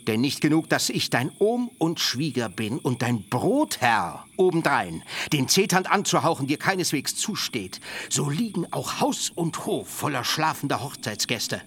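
A man's voice: a little high, big pitch swings, unhurried, gravelly, hardly any pauses, a bit bright, a little hiss or hum, exaggerated voice. A man playing a cunning, pompous older uncle in a literary radio play, lecturing in a sly, self-righteous way. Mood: stern. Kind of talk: stylized literary radio drama.

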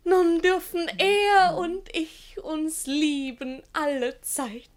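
A woman's voice: very high voice, fairly even intonation, takes it slowly. An actress playing a naive, innocent, lovestruck young ward in a verse comedy radio play, speaking in an artless, girlish, theatrical way. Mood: hopeful.

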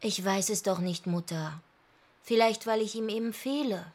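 A husky, breathy voice of medium pitch, an actress playing a brooding and defiant daughter in a radio drama. She speaks intimately, with a needling edge and a tendency to sink toward a whisper. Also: moderate pace, a little mellow, natural voice. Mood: defiant, wistful. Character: brooding, defiant daughter pining for her twin brother.

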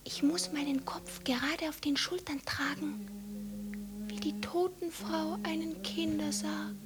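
A feverish young girl in a 1950s German radio play, high and very slow. She murmurs in a dreamy trance, breathy and deranged, circling the same thoughts. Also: lively intonation. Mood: entranced, whispering.

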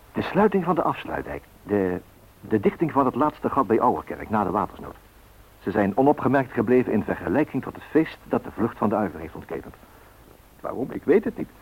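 An actor playing a reflective storyteller looking back in wonder, in a radio play. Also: male voice, on the low side, husky, heavily acted, poor audio. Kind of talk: documentary radio play narration.

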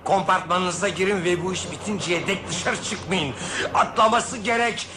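A man's voice: high-pitched, moderate pace, lightly acted. A man playing an agitated, impatient train passenger in a radio drama, curt and commanding. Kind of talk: Turkish radio drama acting. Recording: very noisy old radio play.

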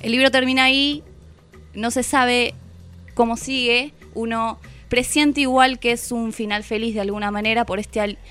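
A woman's voice: fairly high pitch, fairly even intonation, normal speed, fairly bright tone, clear, resonant tone. A woman with an Argentine accent giving an unscripted, reflective literary talk as a guest, voicing quoted thoughts as she goes. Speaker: guest reviewing a book. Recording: music playing behind the voice.

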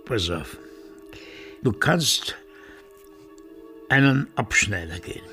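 An actor playing a cagey, melancholy village blacksmith in a radio drama, halting and evasive. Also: male voice, mid-range voice, sing-song, sharp tone, faint music behind, heavily acted. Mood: confiding.

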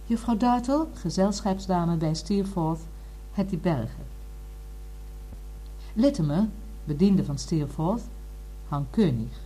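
A woman reading formally as a radio drama announcer, in a measured, deliberate manner. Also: lowish voice, animated, dark tone, slightly rough, rough recording. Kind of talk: formal scripted credits reading.

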